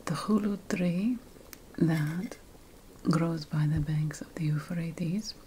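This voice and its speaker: A woman playing an ancient Sumerian witch priestess in a whispered ASMR fantasy role-play, breathy, solemn and deliberate as she addresses an apprentice. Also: low-pitched, dark tone, a little hiss or hum. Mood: solemn warning.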